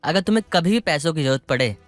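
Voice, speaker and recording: Man acting calm, reasonable young husband. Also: fairly high pitch, quick pace, over music, close to an everyday voice. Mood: reassuring, firm. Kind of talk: dramatized Hindi moral story acting.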